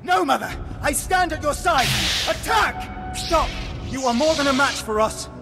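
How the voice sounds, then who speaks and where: A brave, earnest young apprentice from a game. His voice is very high and swoops dramatically with passion, ringing in a long hall reverb. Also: animated, measured pace, creaky, shouting, over music, heavily acted. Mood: desperate defiance. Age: young adult. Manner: impassioned, melodramatic. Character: earnest, brave young son, a Sith acolyte. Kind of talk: video game voice acting.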